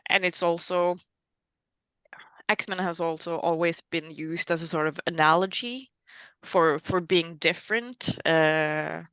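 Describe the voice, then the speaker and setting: A woman's voice: deep voice, fairly bright tone, smooth, dry recording. A woman from Norway with a Norwegian accent, a guest author chatting casually, with many fillers and self-mocking laughs. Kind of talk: casual interview chat.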